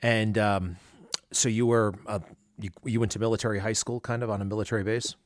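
A man hosting an interview, asking rapid, halting questions full of fillers. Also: fairly low pitch, steady tone, no echo, clean studio sound. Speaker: interview host. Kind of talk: podcast interview.

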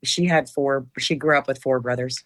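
A woman's voice: a bass voice, fairly even intonation, normal speed. A woman in her fifties answering interview questions, thoughtful and hesitant with many fillers. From United States. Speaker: interview guest.

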